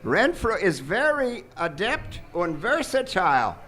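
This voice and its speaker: A man's voice: high-pitched, very animated, unhurried, a bit bright, faint music behind, full character voice. A man playing a smug, overly courteous doctor with a formal, unctuous manner in a live radio comedy.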